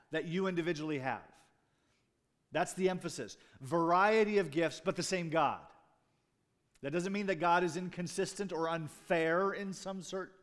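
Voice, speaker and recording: A man's voice: on the high side, fairly bright tone. A man preaching a sermon as pastor, talking conversationally with asides and jokes to his congregation. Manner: restarts and fillers, speaking off the cuff.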